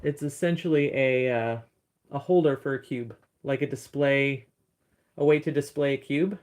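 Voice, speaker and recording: Deep-voiced woman, casual livestream chat, rambling, answering comments, phone mic. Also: normal speed, darkish tone. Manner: chatty, rambling, reading and answering viewer comments. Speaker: encaustic artist streaming live.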